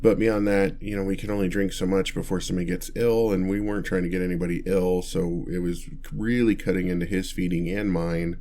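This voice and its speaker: A man's voice: low voice, steady tone, a little fast, hardly any pauses, dark tone, slight background noise. A man voicing an awkward, kindly, inept vampire in an ASMR roleplay, stammering and hesitating as he talks to the listener. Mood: bashful, earnest. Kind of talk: ASMR roleplay, one-sided dialogue to listener.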